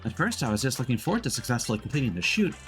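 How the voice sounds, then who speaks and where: A man acts out an eager high-school photographer from a video game, earnest and expressive. The pitch swings in a lively way, with faint music behind. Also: very fast. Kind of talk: reading game dialogue aloud in character.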